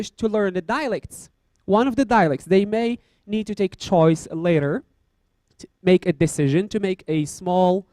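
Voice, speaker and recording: Man, explaining slowly. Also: fairly high pitch, warm tone, no echo. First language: Arabic. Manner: measured, explanatory, with pauses and fillers. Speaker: Arabic professor, guest. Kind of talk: explaining in an interview.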